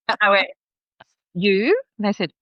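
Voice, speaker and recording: A woman's voice: mid-range voice, fairly bright tone, no echo, studio quality. A woman in her fifties with an Australian accent, a podcast guest telling stories chattily and often laughing. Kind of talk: podcast interview.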